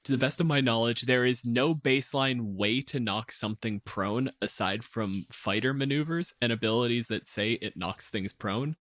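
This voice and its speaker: A man's voice: mid-range voice, normal speed, hardly any pauses, a bit bright, no echo, clean studio sound. A man in casual, analytical podcast discussion of game rules, speaking emphatically and articulately. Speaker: podcast panelist.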